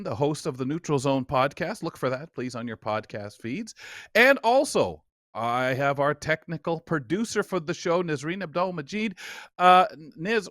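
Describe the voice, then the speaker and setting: A man hosts a radio magazine show in a high voice that moves around a lot. His storytelling is theatrical, and the sound is close and dry. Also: fairly bright tone, no echo. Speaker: talk show host.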